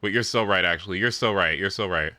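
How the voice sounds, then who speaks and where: A man chatting casually as he streams a video game, often leaping into high, squeaky exclamations. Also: fast talker, pushed and bright. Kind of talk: casual gaming stream chat.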